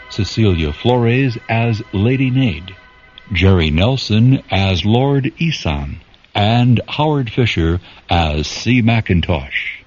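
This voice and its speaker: A man as announcer and narrator of a radio drama, reading in a measured, formal way. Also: on the low side, a little mellow. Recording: dull recording under music.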